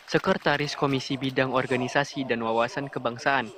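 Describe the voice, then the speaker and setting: A male news reporter with a medium-pitched voice. He narrates a scripted report in formal sentences, with a noticeable crowd behind him. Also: fairly even intonation, brisk, a bit bright. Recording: crowd noise under voice-over.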